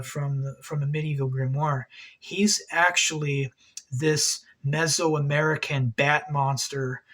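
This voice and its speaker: A man's voice: a little high, fairly bright tone. A man hosting an occult video, talking in a rambling, agitated monologue, swearing a lot and repeating himself.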